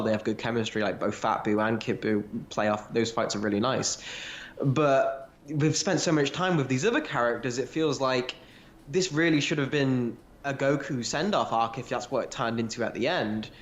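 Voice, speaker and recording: A man's voice: medium pitch, slight background noise. A man talking casually and analytically as a co-host of a fan discussion podcast. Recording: roomy echo, slightly dull lo-fi sound.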